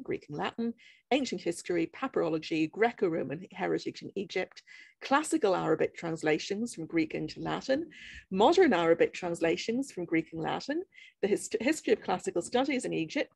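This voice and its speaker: A woman in her forties moderates a video-call panel in a lowish, husky voice with lots of vocal fry. The sound is dry and close. She reads out an introduction briskly, item by item. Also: a little mellow, dry recording. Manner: brisk, listing items crisply. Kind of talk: reading a speaker introduction. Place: home study with bookshelves, indoors.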